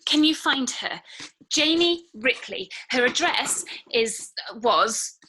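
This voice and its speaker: A woman playing a bewildered, searching woman in her late twenties in a scripted drama table read, halting and questioning. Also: high voice, lively intonation, sharp tone, husky, heavily acted, rough recording. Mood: urgent, confused. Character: bewildered, searching 28-year-old woman, lost and disbelieving. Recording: video call.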